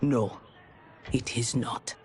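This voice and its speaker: A woman's voice: rumbling low, fairly even intonation, slow pace. A woman playing a proud, honorable, disillusioned ninja warrior in a game cutscene, earnest and formal. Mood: remorseful. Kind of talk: dramatic video game cutscene acting. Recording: cinematic score under the voice.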